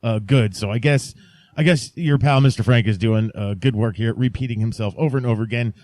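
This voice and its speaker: A man's voice: medium pitch, a little fast, a little mellow, no room sound. Male podcast host, mid-range voice, casual and chatty with playful emphasis, dry studio-close sound. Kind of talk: casual podcast chat addressed to listeners.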